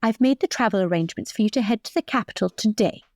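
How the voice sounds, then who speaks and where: A woman's voice: mid-range voice, expressive, quick pace, a little mellow, no room sound, clean studio sound, close to an everyday voice. A woman playing a proper, bossy noblewoman in a role-play drama, formal and admonishing but fond. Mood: instructing.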